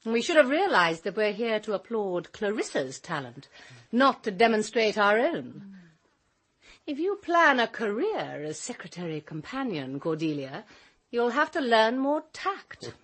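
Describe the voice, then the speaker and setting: A woman's voice: mid-range voice, sing-song, measured pace, fairly bright tone. A woman playing a tart, mocking house guest in a radio drama, dry and pointed. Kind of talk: British radio drama acting.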